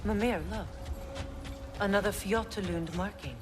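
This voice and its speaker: An actress playing a proud, wounded middle-aged goddess in a video game, sombre yet compassionate, with a measured, guarded delivery. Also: female voice, mid-range voice, noisy background, close to an everyday voice. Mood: observant. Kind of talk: video game dramatic acting.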